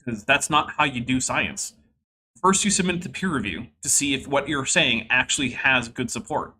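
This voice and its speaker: A man from the Boston area hosting a podcast and explaining things in quick, talkative bursts. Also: mid-range voice, pushed and bright, slight background noise, low-quality recording. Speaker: podcast host. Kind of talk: casual commentary.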